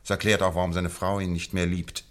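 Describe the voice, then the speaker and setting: An actor playing a shrewd middle-aged detective in a radio drama, lecturing with teasing, theatrical emphasis. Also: male voice, sits low, flat delivery, brisk, fairly bright tone. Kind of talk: 1950s radio drama acting.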